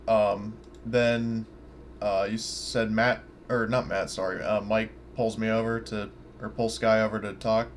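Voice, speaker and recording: Casual low-voiced man playing a tabletop game. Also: little pitch movement, a little slow. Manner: informal, sweary. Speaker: player in a tabletop roleplaying game. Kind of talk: casual online game session talk. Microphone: online voice chat mic. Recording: faint noise on a home mic.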